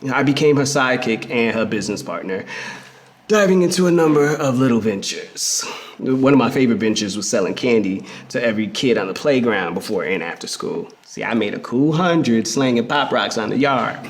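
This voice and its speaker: An actor playing a reflective man in a theatrical first-person memoir monologue, telling it conversationally with emphasis and pauses. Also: medium pitch, lively intonation, lightly acted. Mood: fond, earnest. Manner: conversational, emphatic storytelling with pauses and repeated words. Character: reflective queer man fondly recalling his hustling grandmother. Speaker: actor in a dramatic reading of a memoir. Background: music.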